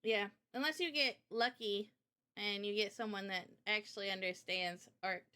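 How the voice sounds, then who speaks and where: A woman's voice: medium pitch, steady tone, moderate pace, bright, forceful tone, clear, resonant tone. A woman chatting casually on a livestream Q&A in a giggly, informal way, as the host. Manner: giggly, laughs often while talking. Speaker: host and visual novel developer.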